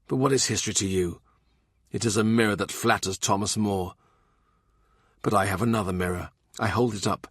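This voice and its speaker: A man's voice: on the low side, breathy edge, no echo, clean studio sound. A man voicing a blunt, angry royal minister. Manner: forceful, heated, blunt.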